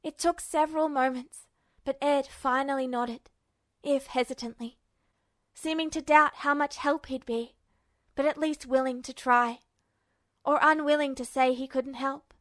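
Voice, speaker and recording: A woman reading fiction aloud as an expressive audiobook narrator. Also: sits high, measured pace, no room sound.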